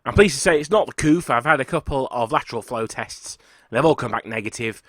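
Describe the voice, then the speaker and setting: A man, husky and congested from a cold, chatting casually as host of a wargaming channel while talking through slides. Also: mid-range voice, animated, fast talker, a bit bright, dry recording, clean studio sound. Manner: chatty, rambling, self-correcting. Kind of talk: casual talk over slides.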